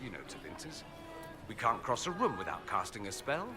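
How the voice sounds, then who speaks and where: Witty, theatrical young nobleman mage, lively swooping voice. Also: on the low side, fairly quick. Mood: wry, teasing. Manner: theatrical, teasing, arch and ironic. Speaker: companion character in a fantasy role-playing game. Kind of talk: video game character dialogue. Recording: music under the voice.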